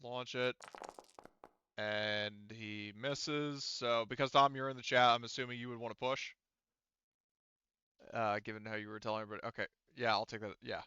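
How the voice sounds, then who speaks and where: A man's voice: mid-range voice, steady tone, moderate pace, pauses often, sharp tone, clean tone, dry recording, highs cut off. A man running a tabletop roleplaying game online as game master, talking casually with swearing and muttering to himself.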